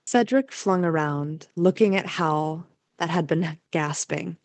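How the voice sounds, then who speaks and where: A woman narrates an audio play in a mellow, somewhat low voice. Her scripted storytelling is recorded very dry and close. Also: slow, dry recording.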